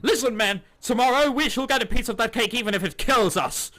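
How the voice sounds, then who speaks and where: Guy doing over the top excitable college kid from a visual novel, high bright voice, super dramatic. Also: a little fast. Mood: indignant, determined. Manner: melodramatic, exclaiming. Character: excitable cake-obsessed college student, melodramatic and fervent.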